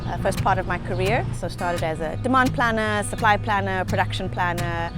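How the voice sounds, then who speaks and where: A woman's voice: medium pitch, normal speed. A woman with a South African accent, speaking earnestly and reflectively in a documentary interview. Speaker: sustainability professional interviewee. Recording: music playing under the voice.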